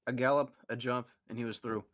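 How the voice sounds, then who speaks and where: A man hosting a comedic fan fiction reading, animated and often laughing. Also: medium pitch, fairly quick, dry recording. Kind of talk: comedic reading with group commentary.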